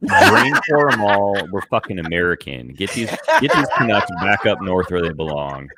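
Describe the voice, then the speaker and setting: A man's voice: low-pitched, wildly swooping pitch, normal speed, pushed and bright, a little hiss or hum. A man in casual podcast banter, blunt and mock-outraged, swearing freely. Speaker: podcast panelist.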